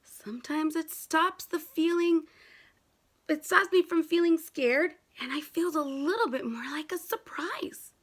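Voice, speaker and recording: A woman voicing a gentle, reassuring father in a picture book, soothing and encouraging a nervous young child. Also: high voice, unhurried, pitched above the performer's own voice. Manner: soothing, encouraging, simply worded.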